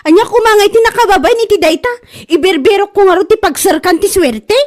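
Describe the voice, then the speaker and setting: A woman's voice: extremely high pitch, fairly quick. A woman playing a stubborn, superstitious, whiny wife in an Ilocano comedy drama, with an Ilocano accent. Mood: defiant. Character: stubborn superstitious wife, shameless and defiant about luck.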